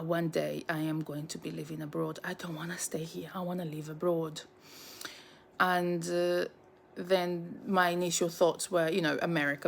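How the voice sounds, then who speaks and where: A woman's voice: lowish voice, vocal fry. A Brazilian-born woman of nearly forty with a Brazilian Portuguese accent, telling her life story in a chatty personal vlog, full of fillers and restarts. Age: 30s. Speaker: vlogger.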